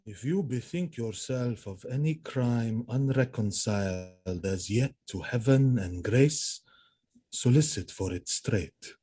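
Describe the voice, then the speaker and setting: An actor playing a jealous, murderous husband in a Shakespearean audio drama, solemn, declamatory and anguished. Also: male voice, mid-range voice, slow, dark tone, breathy edge. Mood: grave, menacing. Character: jealous, murderous husband, grave and tormented military lord.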